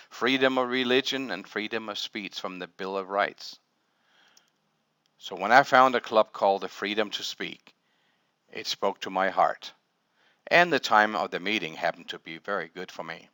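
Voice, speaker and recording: A man's voice: on the low side, pushed and bright, smooth, no echo. A middle-aged man from Denmark, giving a humorous prepared speech full of wordplay at a public speaking club. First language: Danish. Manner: playful storytelling with wordplay.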